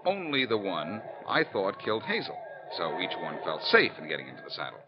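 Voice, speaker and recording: A noisy, muffled old radio recording. a confident detective hero of 1940s radio drama, wisecracking and assured, talking very quickly in a medium-pitched voice. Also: male voice, huge pitch swings. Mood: accusing, assured. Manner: brisk, assured, teasing.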